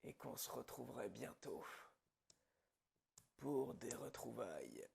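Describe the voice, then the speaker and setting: Guy acting as a calm gloating psycho killer, gravelly asmr horror voice, real slow with lots of pauses. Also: mid-range voice, exaggerated voice. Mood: quietly menacing. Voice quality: husky, gravelly, breaking into whispers. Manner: slow, pausing, quietly menacing storytelling. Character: calm, gloating male psychopath killer confessing his story. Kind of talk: French ASMR horror roleplay monologue.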